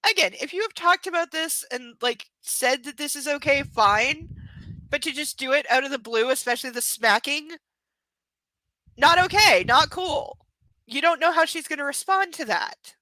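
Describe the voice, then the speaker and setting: A woman reads a novel aloud on a live stream from home, her bright, fairly high voice close and dry on a camera mic. She keeps stopping to poke fun at the story in chatty, sarcastic asides. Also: animated, normal speed, no room sound, low-quality recording. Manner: sarcastic, chatty asides between read passages. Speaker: book reader and streamer. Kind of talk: live book reading with casual commentary.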